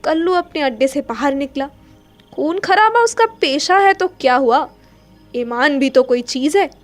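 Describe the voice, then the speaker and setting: High-voiced woman narrating a story. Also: expressive, unhurried. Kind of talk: dramatic literary story narration. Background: faint music.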